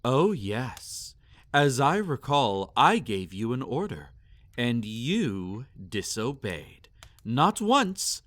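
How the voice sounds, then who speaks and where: A man's voice: mid-range voice, sing-song, slow, fairly bright tone, no room sound. A man playing a possessive, sadistic male vampire in intimate roleplay audio, with a theatrical, teasing and condescending manner. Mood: mocking, commanding.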